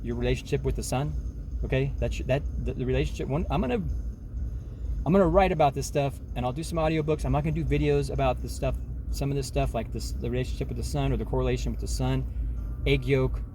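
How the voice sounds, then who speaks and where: A man's voice: mid-range voice, fast, noisy background. A man in his forties talking in a rambling, emphatic self-help monologue, full of restarts and repeated words. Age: 40s.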